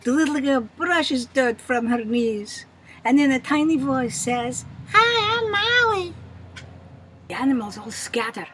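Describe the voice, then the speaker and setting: High-pitched older woman reading a storybook. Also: poor audio. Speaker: children's storyteller. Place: shady outdoor bench. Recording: faint outdoor background noise.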